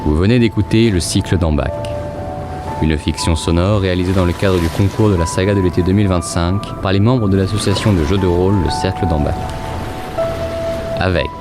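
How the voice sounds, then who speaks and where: A man narrating a scripted audio drama in a measured, dramatic storytelling manner. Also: low-pitched. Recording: loud music bed behind the voice.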